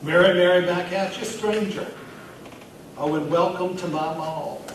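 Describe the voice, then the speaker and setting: A folksy, jovial small-town pet store manager, played by a man reading a story aloud, boasts and confides like a chatty regular. The voice is on the high side, heard from a distance in a very echoey, noisy room. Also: measured pace. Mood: jovial, boastful. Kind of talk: dramatic reading of short story monologue. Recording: very echoey room from afar, clear noise.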